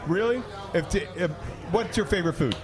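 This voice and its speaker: A man hosting a casual comic chat, telling stories in a halting, rambling, excitable way. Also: high voice, music in the background. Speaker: podcast host and bartender.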